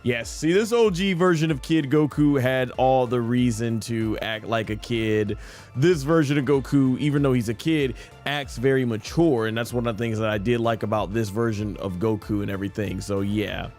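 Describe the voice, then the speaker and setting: Male gaming streamer, mid-pitched voice, casual live commentary, faint music behind. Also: normal speed, darkish tone. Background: game music.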